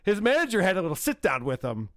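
A man's voice: sits high, wildly swooping pitch, quick pace, a bit bright, no echo, studio quality. A man hosting a casual podcast, mocking and sarcastic as he picks apart jokes. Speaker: podcast host.

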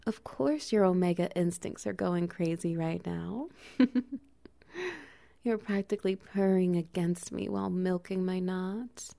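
A woman voicing a dominant, doting adult male lover in an intimate audio roleplay, speaking in breathy whispers with soft laughs and steady praise. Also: lowish voice, measured pace. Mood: teasing, praising.

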